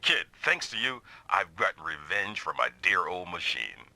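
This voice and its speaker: A man's voice: low voice, very animated, moderate pace, gravelly, bright, forceful tone, dry recording, exaggerated voice. An actor voicing a big, burly, good-natured but touchy male mech pilot in an anime-style video game, theatrical and blustering. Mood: boastful.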